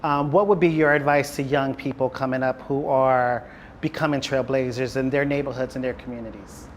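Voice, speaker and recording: A man's voice: medium pitch, fairly even intonation, moderate pace, slight background noise. A man interviewing guests, asking upbeat, enthusiastic questions.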